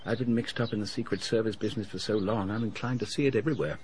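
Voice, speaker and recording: An actor in a radio drama playing a genial, inquisitive British secret-service gentleman, polite and musing in manner. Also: lowish voice, fairly even intonation, racing, a little hiss or hum, natural voice. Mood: curious, musing. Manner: polite, musing, well-spoken.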